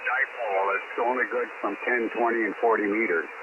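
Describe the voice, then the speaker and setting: A man's voice: lowish voice, fairly even intonation, fairly quick. An American man in his eighties chatting casually and reminiscing over an amateur radio link. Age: 80s. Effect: radio transmission. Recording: narrow tinny ham radio signal, loud static. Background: loud radio static.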